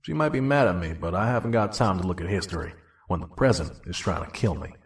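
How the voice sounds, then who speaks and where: Guy doing a deep gruff junk peddler pony, tired of the world, audio drama. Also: animated, quick pace, far from the mic. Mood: brooding. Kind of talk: audio drama dialogue and first-person narration.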